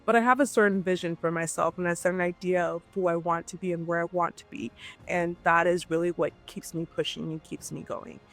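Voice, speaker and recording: A woman's voice: fairly low pitch, fairly even intonation, a little fast, a bit bright, soft background music. A woman from Nigeria, a guest entrepreneur, talking animatedly and emphatically in a casual interview, often checking in with "right?".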